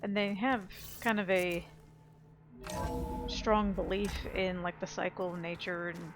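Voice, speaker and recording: A woman's voice: on the low side, flat delivery, measured pace. A woman casually commenting while playing a video game, chatty and rambling with plenty of fillers. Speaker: let's play gamer. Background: game music.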